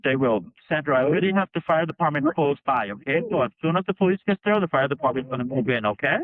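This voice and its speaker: A man working as an emergency dispatcher, firm and calming, repeating his questions. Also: on the high side, fast, no echo. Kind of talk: archival emergency call recording. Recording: tinny phone-line audio.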